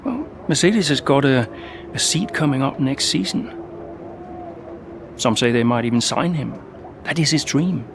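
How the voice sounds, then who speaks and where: A seasoned, guarded racing driver. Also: male voice, medium pitch, lively intonation, background noise. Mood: defensive. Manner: measured, quietly advising. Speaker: veteran racing driver near retirement. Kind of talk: video game cutscene acting.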